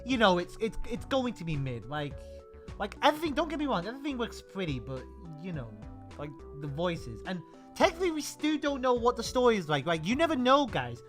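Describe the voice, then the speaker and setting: Excitable higher-voiced man, very lively pitch. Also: a little fast, soft background music. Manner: excitable, stammering repeats, jokey. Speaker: co-host of a video game discussion show. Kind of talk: casual chat about games and films.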